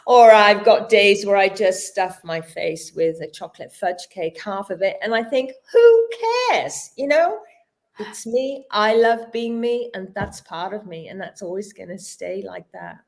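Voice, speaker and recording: A woman's voice: medium pitch, expressive, unhurried, creaky. A woman in her fifties, a yoga teacher speaking as a guest in a casual interview, earnest and reflective, her speech a little clumsy from a dental injection. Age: 50s. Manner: slightly stumbling, searching for words. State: mouth numb from dental injection.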